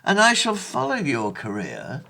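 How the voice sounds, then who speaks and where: An actor playing a benevolent, earnest 1820s gentleman in a period audio play, with formal, courteous old-fashioned diction. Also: fairly high pitch, huge pitch swings, unhurried, gravelly, exaggerated voice, poor audio.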